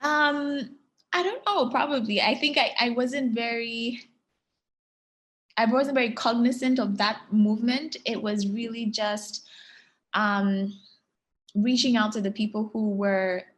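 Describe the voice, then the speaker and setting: A woman from Ghana in her thirties, a fashion stylist answering interview questions thoughtfully, with frequent fillers and soft laughs. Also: on the high side.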